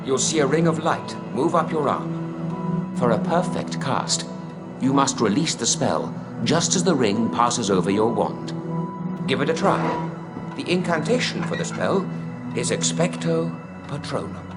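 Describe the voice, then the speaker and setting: Guy doing a patient wizard teacher in a video game, mid-pitched, encouraging, loud music under him. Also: animated, measured pace, lightly acted. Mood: patient, instructive. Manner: instructive, encouraging. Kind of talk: video game tutorial dialogue.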